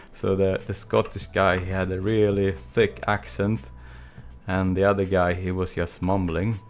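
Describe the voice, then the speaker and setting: A man's voice: low voice, unhurried. A Swedish man in his thirties with a Swedish accent, casually chatting with viewers as he streams a texturing tutorial from a small home studio. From Sweden. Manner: relaxed, chatty, answers viewer chat between long quiet stretches. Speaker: live stream texturing instructor. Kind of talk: casual live stream chat while working. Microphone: desk mic with webcam.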